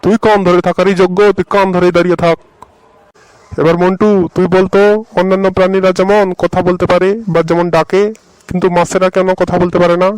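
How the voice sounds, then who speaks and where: A slightly high-pitched, exasperated cartoon schoolteacher, as a man voices him. He scolds his pupils with mounting frustration. The recording is dry and close. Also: flat delivery, fast, a little mellow, clean tone, no room sound, natural voice, poor audio. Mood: scolding. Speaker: voice actor. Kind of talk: cartoon voice acting.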